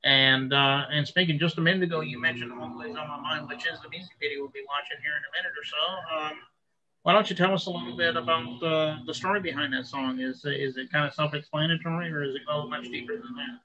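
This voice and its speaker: Bright-toned male show host. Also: on the high side, quick pace. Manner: friendly, steady, plugs the guest. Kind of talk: interview hosting. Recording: slightly dull lo-fi sound.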